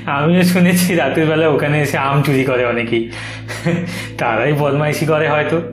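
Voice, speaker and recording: A man voicing a young town-bred husband in a horror cartoon, conversational, brisk and insistent. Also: on the high side, fairly even intonation, soft background music, natural voice. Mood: dismissive. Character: young husband Arjun, dismissive yet uneasy townsman. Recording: roomy echo.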